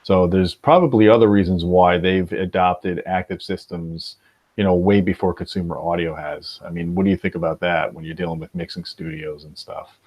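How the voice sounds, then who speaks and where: Man, deep voice, show host, informal tech talk, conversational, even-handed. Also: normal speed. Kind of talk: informal technical discussion.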